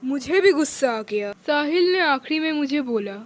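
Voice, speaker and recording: Woman storyteller for a cartoon, high register, scripted first-person narration as a young man. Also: lively intonation, normal speed, background noise.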